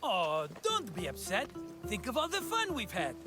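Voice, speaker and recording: An actor playing an excitable, scheming Greek hustler in a video game, wheedling and theatrical, with a Greek accent. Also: male voice, sits high, moderate pace, over music. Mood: cajoling.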